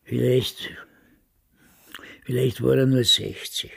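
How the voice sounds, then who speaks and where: A man's voice: medium pitch, fairly even intonation, slow, fairly bright tone, full character voice. An older man acting a stubborn, grumbling man in his nineties in a radio play. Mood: defiant. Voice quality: gravelly, husky. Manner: emphatic, repetitive grumbling. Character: indignant, stubborn, vain, wistful 95-year-old man.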